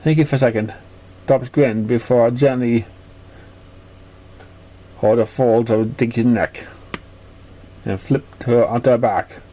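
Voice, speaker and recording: A man's voice: mid-range voice, fairly even intonation, takes it slowly, pauses often, dark tone. A man reading a story aloud as narrator, stumbling over words as he goes. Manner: stumbling, uneven reading with slips. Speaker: fan fiction reader.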